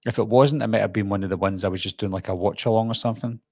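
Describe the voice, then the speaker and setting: A man's voice: on the low side, steady tone, racing, darkish tone, no room sound, clean studio sound. A man with a Glasgow accent, a YouTube host rambling casually through viewer comments on a live stream, full of ums and restarts. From Scotland.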